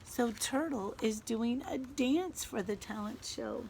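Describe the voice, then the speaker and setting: Woman, children's read-aloud, teacher, unhurried pace, slightly creaky voice, animated explanations, noisy background. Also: on the high side. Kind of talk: expressive picture-book read-aloud with asides.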